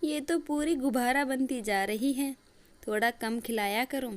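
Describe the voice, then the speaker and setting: A woman's voice: sits high, a little slow, dry recording, pitched above the performer's own voice. A woman voicing a nosy, teasing neighbour auntie with a mocking manner in a story cartoon.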